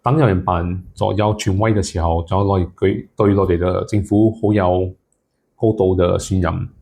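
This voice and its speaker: A man's voice: low-pitched, steady tone, normal speed, gravelly, mellow, slightly rough. A man giving casual advice to viewers as a solo content creator, mixing English words into his speech.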